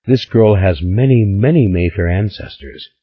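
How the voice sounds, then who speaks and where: A man's voice: lowish voice, moderate pace, husky. A man voicing an ill old patriarch, proud and commanding, in an audiobook. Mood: imperious. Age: older. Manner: imperious, commanding. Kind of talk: audiobook character voice. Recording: slightly dull lo-fi recording.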